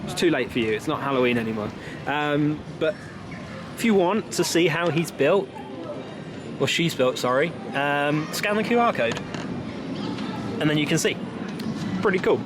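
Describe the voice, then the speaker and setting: A man's voice: a little high, expressive, normal speed, long pauses, background noise. A young British man chatting casually to camera as a vlogger, outdoors on a camera held at arm's length. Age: young adult. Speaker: theme park vlogger. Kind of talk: casual vlog chat to camera. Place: outdoors in a theme park. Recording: outdoor vlog with music behind.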